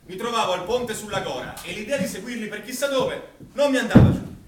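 Male, stage drama: hunted country vet recounting an escape, urgent, higher voice, echoing room. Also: animated, fairly quick, distant mic, heavy background noise. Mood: urgent, animated. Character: male country veterinarian hiding from soldiers in wartime, recalling an escape. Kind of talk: theatrical storytelling in a stage play.

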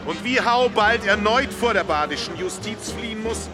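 An actor playing a showy 1920s variety show barker, making a declamatory, promotional announcement in a radio play. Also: male voice, high-pitched, lively intonation, normal speed, music in the background. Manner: declamatory, addressing the public.

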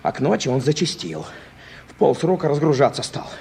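An actor playing a cocky, defiant truck driver from town in a radio play, talking colloquially and stubbornly. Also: male voice, mid-range voice, very animated, brisk, vocal fry, a little mellow. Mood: insistent. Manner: colloquial, stubborn, self-justifying. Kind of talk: Soviet radio play acting. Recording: noisy vintage radio drama recording.

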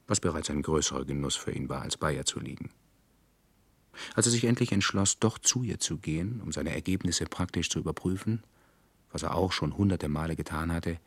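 A sombre storyteller of a dystopian future. His voice is low and husky, recorded very dry, in scripted radio play narration. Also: dry recording, heavily acted.

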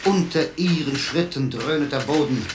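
Ein Mann spielt in einem Hörspiel einen dichtenden Computer, der gemessen und pathetisch Verse deklamiert. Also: male voice, on the high side, background noise, lightly acted. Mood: solemn, bombastic. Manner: declamatory, measured verse delivery. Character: versifying computer, a male machine reciting bombastic, amorous poetry. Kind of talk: declaimed verse in radio drama.

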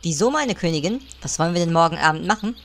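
A woman's voice: on the low side, lively intonation, quick pace. A woman playing a dutiful, slightly naive servant of a queen in a parody audio drama, deferential with dry asides.

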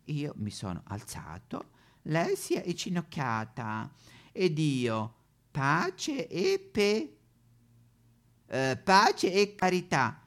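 A man's voice: on the high side, huge pitch swings, takes it slowly, a bit bright, voice pushed up, full character voice. A man playing an elderly retired German pope in a satirical stage monologue, with a German accent, mock-solemn sing-song preaching and petulant complaints. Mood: aggrieved, solemn. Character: elderly retired German pope, whiny, pious but foul-mouthed.

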